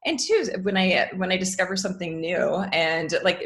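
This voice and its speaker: A woman's voice: on the low side, expressive, normal speed, fairly bright tone. A woman giving conversational interview answers as a guest, telling anecdotes and chuckling as she talks. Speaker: podcast guest, educator and author.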